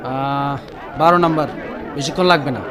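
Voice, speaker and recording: A man playing a brisk clinic attendant in a radio drama. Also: fairly high pitch, fairly even intonation, very noisy, close to an everyday voice. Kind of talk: Bengali radio drama acting. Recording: busy waiting-room chatter behind.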